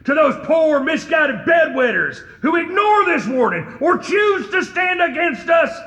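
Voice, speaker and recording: An actor playing a vengeful, menacing outlaw in a western audio drama, shouting a theatrical proclamation. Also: male voice, extremely high pitch, very animated, unhurried, reverberant, highs cut off, full character voice. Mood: menacing, defiant. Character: vengeful outlaw issuing a menacing ultimatum to a town.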